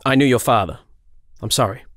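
A man's voice: mid-range voice, a little fast, fairly bright tone, dry recording, studio quality, natural voice. Blunt, brusque male angel played by an actor. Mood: solemn, blunt. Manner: blunt, curt. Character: brusque, bitter male angel, blunt bearer of bad news. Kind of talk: audio drama acting.

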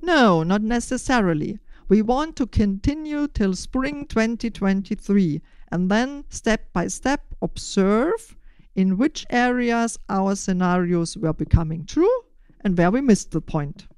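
Older German-accented woman, clean smooth voice. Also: medium pitch, expressive, a little slow, a little mellow, dry recording. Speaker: podcast host and initiator. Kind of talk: prepared, scripted-sounding podcast interview answers.

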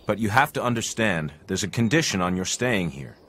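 A man's voice: lowish voice, sing-song, a little fast, fairly bright tone. An actor playing an earnest, upright male superhero in a cartoon, with a firm manner.

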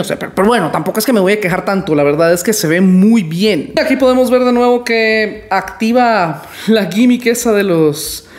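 A man in his twenties with a high voice, talking in a casual, rambling and playfully boastful way in a toy review vlog, recorded at home on a studio mic. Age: 20s. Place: small room at home. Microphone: studio mic on stand in front.

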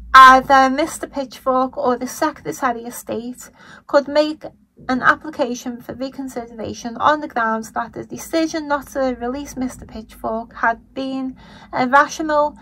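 A woman with a Liverpool accent and a croaky voice, giving blunt, indignant commentary on a news story as a vlogger. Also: sits high, bright, forceful tone, dry recording, poor audio. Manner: blunt and indignant, swears when angry. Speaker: true-crime commentary vlogger. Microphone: voice-over over still images.

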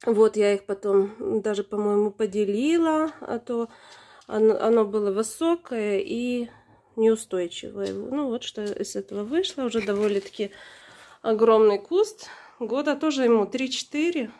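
A woman with a fairly high, mellow voice talks casually through a home video. She sounds slightly hoarse, as if under the weather, and pauses mid-sentence as she searches for words. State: slightly hoarse from being unwell. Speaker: plant vlogger. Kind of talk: casual unscripted narration of own video. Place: indoors, room in a flat. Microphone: handheld camera or phone, behind the camera.

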